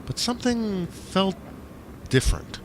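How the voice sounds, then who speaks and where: A man's voice: high-pitched, big pitch swings, unhurried, background noise. A man reading surreal first-person fiction as a dramatic audiobook, swinging between emphatic lines and muttered asides. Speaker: author reading own stories.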